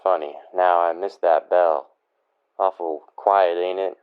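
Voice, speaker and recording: An actor playing a humble, folksy deputy sidekick in a radio western, loyal and deferential. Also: male voice, low voice, steady tone, slow pace, clear, resonant tone, narrow, tinny audio. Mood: uneasy, quiet. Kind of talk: old-time radio western drama acting.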